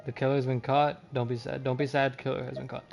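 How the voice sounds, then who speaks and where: A man doing casual, profane live game commentary as a streamer, often sounding confused and reacting out loud. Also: mid-range voice, flat delivery, fast talker, vocal fry, over music. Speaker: video game livestreamer.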